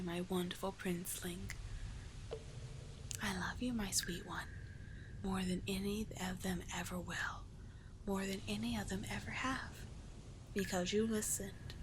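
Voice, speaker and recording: Seductive sea witch played by a woman, ASMR roleplay. Breathy, hypnotic, unhurried, mid-pitched, over clearly noisy ambience. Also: lightly acted. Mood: hypnotic, possessive. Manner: breathy, whispery, coaxing, lingering on words. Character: seductive, doting, manipulative female sea witch of the deep caves. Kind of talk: ASMR roleplay, slow hypnotic sleep-aid delivery.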